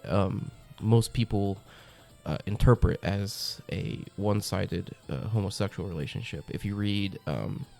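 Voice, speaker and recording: A man's voice: lowish voice, unhurried, creaky, warm tone, faint music behind. A young man hosting a comic review channel, congested from a cold, talking in a chatty, enthusiastic way with lots of ums and uhs. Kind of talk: casual review monologue.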